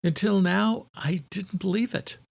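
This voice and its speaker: A confident, sharp-minded woman, as a male narrator puts her on in an audiobook. The pitch is lifted, and the delivery is emphatic and insistent. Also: a little slow, creaky, exaggerated voice. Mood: reluctant, earnest.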